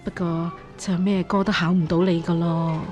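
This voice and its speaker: A woman with a Hong Kong Cantonese accent acting a gentle, resigned middle-aged foster mother in a radio drama, sighing and soothing as she speaks. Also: lowish voice, flat delivery, normal speed, highs cut off, over loud music, lightly acted.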